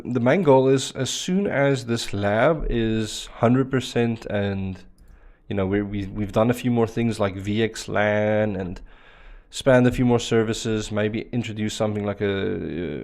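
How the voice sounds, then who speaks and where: Male streamer whose first language is Afrikaans, slightly low voice, relaxed, chatty, rambling live-stream tech talk. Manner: relaxed, chatty, many fillers and restarts. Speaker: streamer teaching networking. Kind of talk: casual live-stream chat while working a lab.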